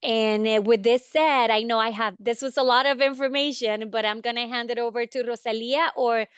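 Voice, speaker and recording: A woman's voice: a little high, fairly even intonation, moderate pace, smooth, dry recording, muffled recording. A woman from Honduras with a Honduran Spanish accent, a native Spanish speaker presenting informally as a community support panelist in a webinar. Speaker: county immigrant support panelist.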